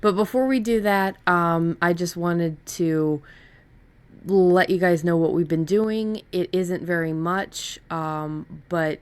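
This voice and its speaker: Female podcast host, low register, casual and conversational delivery. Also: slow, clean tone.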